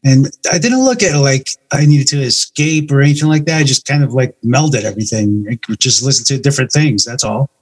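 Informal man, mid-pitched, casual chat. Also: animated, a little fast, hardly any pauses. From United States. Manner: informal, swearing. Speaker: metal band member, interview guest.

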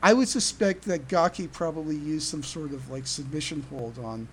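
A podcast host chatting casually in a halting way, often stammering and repeating words. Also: mid-range voice. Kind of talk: casual chat. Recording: clear background noise.

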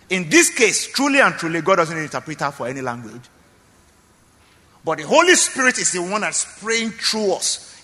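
A high, lively man preaches to his congregation with a bright, forceful voice. He repeats himself for emphasis and keeps checking that his listeners follow. His voice rings with the long reverb of a church hall. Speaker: pastor. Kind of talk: sermon.

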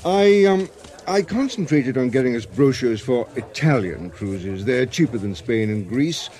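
An actor with a British accent playing a sensible, orderly professor and blunt friend in a radio drama, speaking in a brisk, matter-of-fact way. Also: male voice, mid-range voice, expressive, darkish tone, slight background noise, lightly acted. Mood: brisk, practical. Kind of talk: radio drama dialogue acting.

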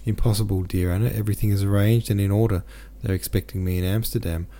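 A man's voice: lowish voice, steady tone, quick pace, warm tone, natural voice. A man reading as a heavy-hearted young merchant.

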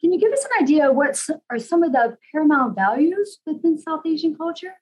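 Lively, high-voiced woman podcast host. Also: fast, poor audio. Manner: friendly, affirming interviewer. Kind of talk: podcast interview.

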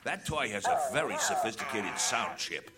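A man's voice: mid-range voice, very animated, moderate pace, over a noisy crowd, close to an everyday voice. A man voicing a tinkering, techie pet dog in a comedic audio play performed live. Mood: eager. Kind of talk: comedic audio theater acting. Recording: live stage with loud audience noise.

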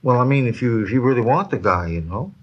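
An actor playing an evasive middle-aged barfly in a 1950s radio drama, hesitant and repetitive, with a gravelly voice. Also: male voice, mid-range voice, lively intonation, fast, dull audio, lightly acted. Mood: sly, wheedling. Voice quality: gravelly, husky.

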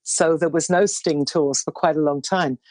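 A woman in her sixties, a singer with a low baritone voice, telling stories in a rambling interview chat with many restarts. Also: steady tone, moderate pace, no echo. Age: 60s. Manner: rambling, warm storytelling with restarts.

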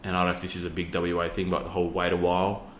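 A man from Western Australia with an Australian accent, talking as an interview guest in a relaxed, laid-back way with plenty of fillers. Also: sits low, very fast, a little hiss or hum. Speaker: podcast guest, youth worker. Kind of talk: casual interview talk. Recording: echoey room.

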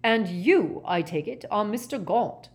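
A woman's voice: fairly high pitch, sing-song, moderate pace, a little mellow, voice pushed up. A woman voicing a formal, easily flustered and indignant male government official in a storybook reading. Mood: angry, indignant. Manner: formal, polite, tart.

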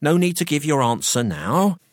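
An officious, self-important small-town solicitor from an audiobook as a man acts him out. He speaks formally and impatiently with small stammers, pitched up and swinging widely. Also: very animated, normal speed, dry recording, clean studio sound. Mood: brisk, officious. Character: officious, sweaty, impatient male provincial solicitor. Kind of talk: audiobook character dialogue.

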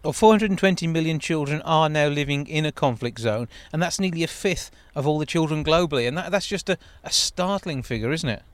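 A man hosting a radio show, asking steady, measured questions in a studio. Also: on the high side, no echo. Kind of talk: radio interview.

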